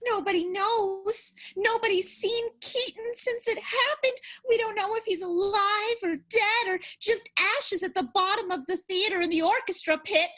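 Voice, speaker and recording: A woman's voice: extremely high pitch, moderate pace, hardly any pauses, fairly bright tone. A woman playing a naive, chipper young vaudeville darling, bubbly and guileless, in an improvised period radio soap. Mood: earnest, chipper.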